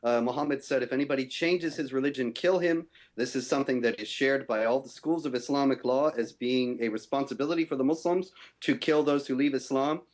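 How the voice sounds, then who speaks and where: A man giving a firm, measured rebuttal in a televised debate, often pausing on "uh". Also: mid-range voice. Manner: measured, firm, many uh fillers. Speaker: debate guest.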